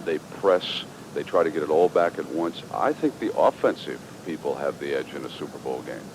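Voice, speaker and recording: A man working as a radio sports commentator, asking questions and offering opinions in a conversational pregame interview. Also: normal speed. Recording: noisy old radio broadcast, rumble.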